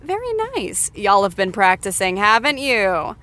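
Bright, animated woman hosting a show. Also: medium pitch, unhurried. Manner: excitable, folksy. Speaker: podcast after-show host.

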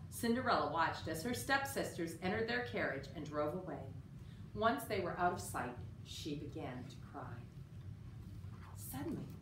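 A middle-aged woman reading a children's picture book aloud in an expressive, measured storytelling style. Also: lowish voice. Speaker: storyteller at a library. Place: indoor room with story sign. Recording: roomy, distant mic, faint room noise.

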